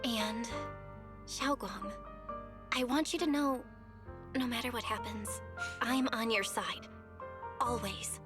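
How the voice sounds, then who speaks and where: Earnest, concerned anime game heroine. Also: female voice, a little high, slow, pauses often, music in the background. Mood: reassuring. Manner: earnest, insistent. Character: concerned, firm, loyal young friend. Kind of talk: anime video game voice acting.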